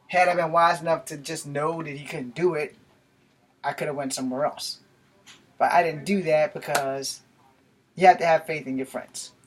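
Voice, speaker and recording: An American man in his forties giving a rambling, casual vlog talk to camera in a small bedroom. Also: fairly high pitch. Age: 40s. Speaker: YouTube vlogger. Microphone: camera a few feet away. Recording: noisy home camera recording with clicks.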